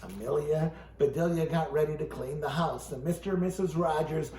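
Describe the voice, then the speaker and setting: A man's voice: a little high, normal speed, darkish tone. A man hosting as a ventriloquist, reading a children's storybook aloud with comic banter. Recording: echoey room, faint background noise.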